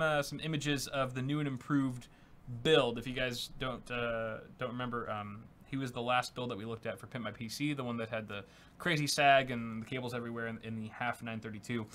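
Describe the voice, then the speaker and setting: A man's voice: medium pitch, fairly even intonation, normal speed, sharp tone. A young man co-hosting a tech show, casually chatting and reading viewer donations aloud with lots of fillers. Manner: upbeat, reads messages aloud with filler words. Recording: faint background noise.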